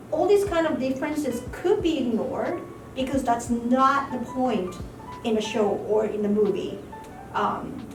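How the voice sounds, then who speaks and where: A fairly high-voiced woman whose first language is Japanese explains her work as a localizer, pausing on fillers as she thinks. She is recorded from a distance in a roomy, echoing space with music playing clearly behind her. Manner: thoughtful, frequent fillers like "you know". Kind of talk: interview conversation. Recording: echoey room, distant mic, music behind.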